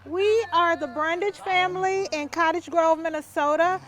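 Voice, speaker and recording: Mom with a really high voice, middle aged, talking casually at home. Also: female voice, moderate pace, fairly bright tone. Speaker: mother. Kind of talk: casual family talk and speaking to camera.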